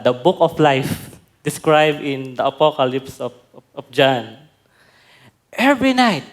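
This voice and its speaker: A male pastor giving a conference talk, telling a personal story in a slow, reflective way. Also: fairly high pitch. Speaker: pastor, speaker. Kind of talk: sermon talk.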